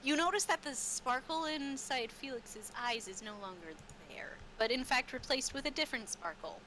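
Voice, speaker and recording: Noisy recording, woman game master, bright voice, kinda slow and halting. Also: high voice. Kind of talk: casual tabletop roleplay narration. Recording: clearly noisy background.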